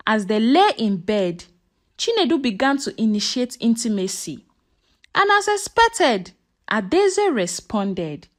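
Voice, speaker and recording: Slow, high-pitched woman storyteller. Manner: suspenseful, dramatic. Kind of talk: scripted dramatic folktale narration.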